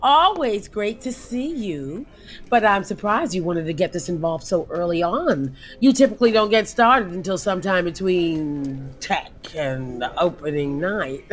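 Gushy theatre producer, politely catty, lowish voice, takes her time. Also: lively intonation. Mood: polite, guarded. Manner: gushing, diplomatic, measured. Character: politely barbed, gushing female theater producer. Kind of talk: comedic audio drama acting.

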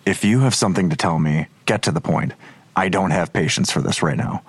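A weary male detective in an audio drama, low and raspy. He talks bluntly with sardonic skepticism, recorded very dry. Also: brisk, dry recording. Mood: skeptical, impatient. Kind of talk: naturalistic audio drama acting.

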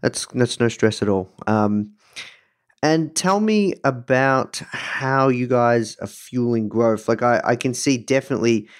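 A man hosting a casual interview, asking informal questions in a halting, searching way. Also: medium pitch. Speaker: podcast interviewer, host.

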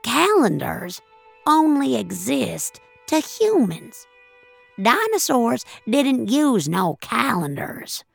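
A woman's voice: on the high side, sing-song, slow pace, gravelly, husky, soft background music, pitched above the performer's own voice, heavily acted. A woman voicing a blunt, gloomy old country grandmother in an audio story. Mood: musing, emphatic. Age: older. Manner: folksy, blunt, fatalistic musing.

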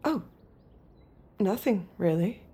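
A soft, mellow, obedient young girlfriend. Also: mid-range voice, expressive, slow pace, slightly rough. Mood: guarded, compliant. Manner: compliant, guarded, soft-spoken. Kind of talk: naturalistic audio drama acting.

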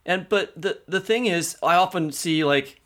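A man's voice: high voice, measured pace, fairly bright tone. A man co-hosting a discussion, conversational and opinionated, restarting sentences as he makes his point. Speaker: podcast co-host.

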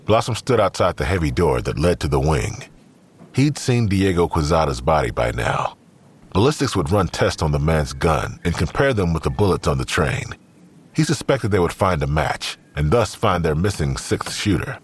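Deep raspy guy narrating audio drama, super husky, lively intonation, scripted storytelling. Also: moderate pace.

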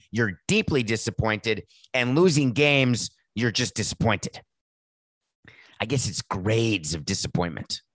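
Raspy guy ranting sarcastically about sports, lowish voice, dead dry sound. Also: fairly bright tone, no echo. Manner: sardonic, mocking. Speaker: podcast host.

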